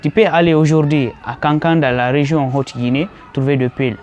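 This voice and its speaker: A man's voice: a little high, fairly even intonation, brisk, a little mellow, slight background noise. A man from Guinea with a Guinean French accent answering a street interview, explaining and repeating himself.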